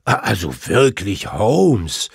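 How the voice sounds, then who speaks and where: A man voicing a proper, easily affronted gentleman companion with a formal, fussy manner. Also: lowish voice, big pitch swings, slow pace, gravelly, husky, no room sound, clean studio sound, full character voice. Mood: indignant.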